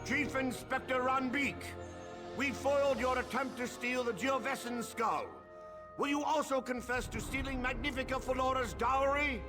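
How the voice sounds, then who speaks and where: A man's voice: extremely high pitch, moderate pace, over music. A man playing a pompous, accusing chief inspector in a video game, speaking in a declamatory way. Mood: stern triumph.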